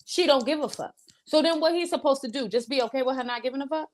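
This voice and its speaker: A woman's voice: high-pitched, quick pace. A woman co-hosting a casual review show, talking bluntly and profanely with mock-outraged asides. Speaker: podcast co-host. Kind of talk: casual reality TV review chat.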